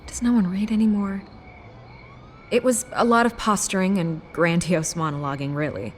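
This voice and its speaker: An actress playing a shrewd American newspaper reporter in a video game, talking in a wry, dry, casual way. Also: female voice, mid-range voice, steady tone, normal speed, a little hiss or hum, lightly acted. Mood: dry, dismissive.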